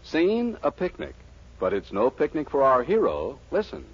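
A man announcing commercials in the scripted style of 1940s radio, with a husky voice. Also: medium pitch, huge pitch swings, normal speed. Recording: dull vintage 1940s radio recording.

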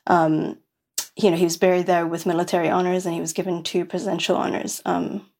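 A woman's voice: lowish voice, little pitch movement, fairly quick, vocal fry, darkish tone, a little hiss or hum. A woman from New York City, a podcast guest, talking in a rambling casual way with many fillers and restarts. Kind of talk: casual interview chat.

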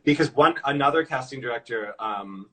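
A man's voice: mid-range voice, animated, moderate pace, a bit bright, rough recording. A man with an American accent, interviewing a guest in an informal, loosely phrased, conversational way. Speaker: podcast interviewer, accent coach. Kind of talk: casual interview chat.

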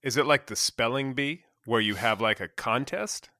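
Bright dry-sounding male interviewer. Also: medium pitch, moderate pace, dry recording. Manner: relaxed, curious, short affirmations. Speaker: interviewer host. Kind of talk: casual interview talk.

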